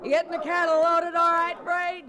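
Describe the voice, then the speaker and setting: High-voiced feisty frontier businesswoman. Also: monotone, moderate pace, crowd noise. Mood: uneasy. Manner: blunt, folksy. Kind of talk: old-time radio Western acting.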